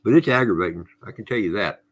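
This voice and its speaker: A man's voice: lowish voice, animated, fast. A middle-aged man co-hosting a casual podcast livestream, friendly and folksy, greeting viewers by name. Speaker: podcast co-host.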